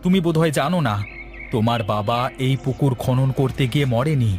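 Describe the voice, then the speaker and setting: A man's voice: mid-range voice, a little fast, a little mellow, soft background music, close to an everyday voice. A man voicing a bold, indignant young village man who speaks urgently and emphatically in a cartoon moral story. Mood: defiant.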